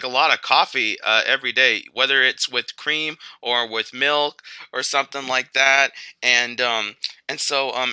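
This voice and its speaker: A chatty teddy bear acted out by a man tells casual stories in a very bright, forceful voice. The delivery is clear and smooth, wandering and hesitant, full of filler words, in a close, dry recording. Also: medium pitch, no echo. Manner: rambling, hesitant, filler-heavy storytelling. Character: chatty male teddy bear who was once a grizzly. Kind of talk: casual first-person storytelling.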